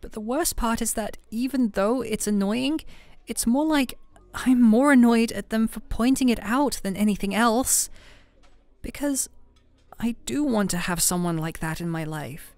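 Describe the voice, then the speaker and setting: A woman's voice: fairly high pitch, unhurried, a little mellow, no echo. A woman playing a shy, flustered best friend with a hidden crush in an intimate audio roleplay, talking in a hesitant, teasing, conversational way. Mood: wistful, awkward.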